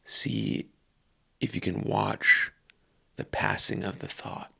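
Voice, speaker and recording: A man leading a guided meditation calmly and unhurriedly, in short phrases with long pauses. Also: fairly low pitch, creaky, husky.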